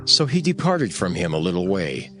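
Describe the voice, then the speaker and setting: Male narrator, low register, lively intonation, dramatized scripture, faint music underneath. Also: normal speed.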